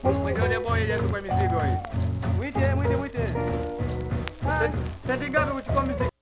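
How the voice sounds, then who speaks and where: A voice in a spoken skit on an old record, acting as an excited traveler who bustles about and calls for a porter. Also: high-pitched, brisk, husky, no echo, soft background music, poor audio.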